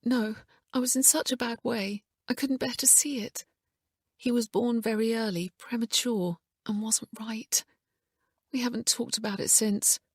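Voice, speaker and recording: A woman's voice: medium pitch, normal speed, vocal fry, darkish tone, slightly rough, dry recording, clean studio sound, pitched above the performer's own voice. A woman voicing a fragile, grieving young mother who turns evasive and agitated under questioning. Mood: distressed. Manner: tense and evasive, voice rising when pressed.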